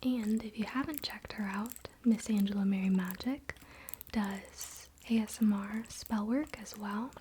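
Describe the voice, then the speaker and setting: Slow whispering young woman, ASMR. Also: mid-range voice. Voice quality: breathy whisper. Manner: casual, gentle, unhurried. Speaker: ASMR host. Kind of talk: whispered ASMR spiritual role-play. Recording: faint background noise, soft clicks.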